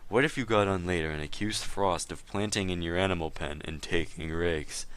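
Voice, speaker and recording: A man acts out a smug accuser in a deep, low voice. He keeps a measured, condescending tone and presses each point insistently, as in a staged reading of an online feud. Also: fairly bright tone, smooth, no echo. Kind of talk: dramatic reading of online chat drama.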